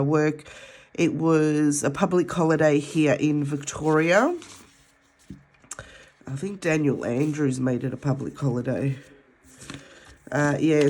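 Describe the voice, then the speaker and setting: An Australian woman vlogging at home, nasal from a head cold, chatting in a rambling way while she counts cash. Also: low voice. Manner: rambling, chatty, with many ums. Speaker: budgeting vlogger.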